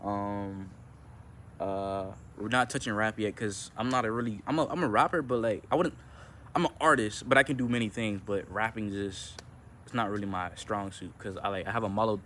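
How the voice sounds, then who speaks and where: A man's voice: lowish voice, moderate pace, slight background noise. A young man chatting casually in a rambling vlog, slangy and laid-back, with a nonchalant delivery. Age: young adult. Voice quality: monotone at times. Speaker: vlogger and amateur musician. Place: outdoors.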